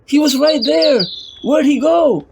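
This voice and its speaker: An excitable little girl as a man puts her on in a comic-book drama. The voice is pitched very high and childlike. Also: a little slow, creaky, background noise, full character voice. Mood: excited.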